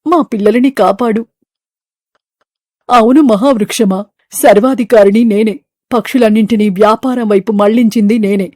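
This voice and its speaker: A woman acts a proud bird leader in a cartoon fable. The delivery is emphatic and declamatory, in a fairly high voice with a very dry, close sound. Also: fairly even intonation, moderate pace, long pauses, a little mellow, no room sound, natural voice. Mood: remorseful, pleading. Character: proud business-minded leader bird, later remorseful. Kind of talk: animated moral story dialogue.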